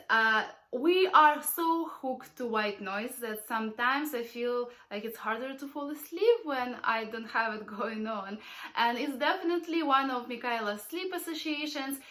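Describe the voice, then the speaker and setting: Woman, high voice, young adult, head cold, friendly vlog review. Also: fairly bright tone. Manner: friendly, direct address to viewers. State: has a cold. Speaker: parenting channel host.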